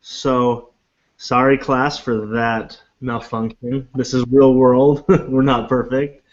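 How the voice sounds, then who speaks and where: A man instructor running an online class, relaxed and filling pauses with ums. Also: medium pitch. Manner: relaxed, apologetic, lots of fillers. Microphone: video call. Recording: lo-fi online call audio.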